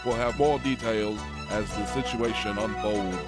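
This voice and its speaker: An actor playing an earnest broadcast announcer delivering mock news and play-by-play in a satirical audio play. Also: male voice, fairly low pitch, fairly even intonation, unhurried, over loud music, lightly acted. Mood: grave. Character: earnest male news anchor turned sports play-by-play announcer.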